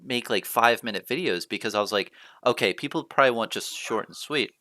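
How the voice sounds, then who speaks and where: A man's voice: medium pitch, fairly quick, bright, forceful tone, no room sound. A man hosting a casual talk show, chatting loosely with lots of "you know" fillers. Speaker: podcast host. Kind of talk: casual chat.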